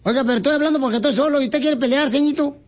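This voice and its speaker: Man putting on a goofy high-pitched Mexican prank caller. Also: rapid-fire, crowd noise. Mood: silly, cheeky. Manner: cheeky, deadpan wordplay, slangy. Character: goofy, flirtatious, naive male phone caller with silly wordplay. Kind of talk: prank phone call.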